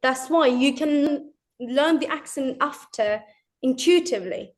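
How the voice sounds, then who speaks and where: A young woman from Turkey speaks English, her voice pitched high. She talks in a hesitant, casual way, stumbling over and repeating words as she answers questions. She is a guest in an interview. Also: a little slow. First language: Turkish.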